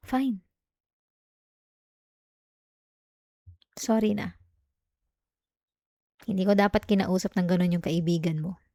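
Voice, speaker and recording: Mellow Filipina actress as a jealous girlfriend, creaky. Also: lowish voice, slow pace, no room sound, clean studio sound. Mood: grudging. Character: jealous young girlfriend, sulky, clingy, turning remorseful. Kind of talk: intimate Tagalog ASMR roleplay acting.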